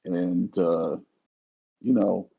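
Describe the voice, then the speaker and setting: A man chatting casually and effusively in a halting, slangy way on a slightly muffled mic. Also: low-pitched, fairly even intonation, creaky, husky. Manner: halting, uses y'all and slang. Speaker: voice actor.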